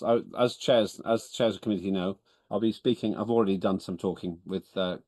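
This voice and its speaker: Low-voiced estonian-native guy chairing a video meeting, businesslike, fixes his own slips, dry close sound. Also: a little mellow, no room sound. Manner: businesslike, self-correcting, wry. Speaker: chairman of parliament. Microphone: video call.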